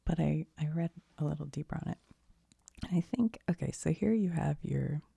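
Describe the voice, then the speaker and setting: A woman speaks very softly in a low, heavily creaky voice with a breathy, husky edge. She rambles conversationally like an ASMR host, haltingly and with self-deprecating asides. The sound is close and dry. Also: no echo. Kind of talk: soft-spoken ASMR, rambling casual explanation.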